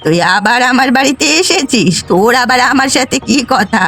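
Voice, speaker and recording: A woman's voice: a little high, expressive, moderate pace, fairly bright tone, soft background music. A woman voicing a poor old village woman in a cartoon, plaintive and stubborn. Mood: indignant, refusing. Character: poor, kind old village woman, protective of her garden. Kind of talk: Bengali cartoon dialogue.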